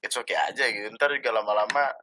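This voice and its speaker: Bright-voiced laid-back young man. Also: medium pitch, fast, a little hiss or hum. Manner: laid-back, jokey, says "santai" a lot. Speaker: podcast host. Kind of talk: casual chat.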